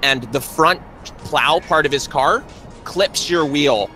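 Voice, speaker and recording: Game master, male, bright forward voice, halting scene narration, music underneath. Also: on the high side. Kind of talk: narrating a tabletop roleplay.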